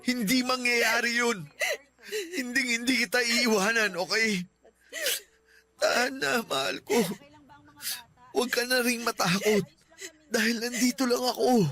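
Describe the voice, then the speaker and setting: Apollo, a devoted, tearful boyfriend. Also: male voice, very high voice, steady tone, unhurried, long pauses, exaggerated voice. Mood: tender. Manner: soft, reassuring, tearful pleading. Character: devoted young boyfriend, gently comforting. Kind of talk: radio drama acting. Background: music.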